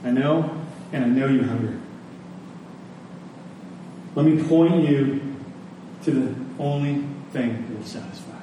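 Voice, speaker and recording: Echoey, mellow-voiced man preaching. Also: mid-range voice, measured pace, long pauses. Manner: rhetorical questions and repeated phrases for emphasis. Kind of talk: sermon to a congregation. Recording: very echoey room, distant mic, faint noise.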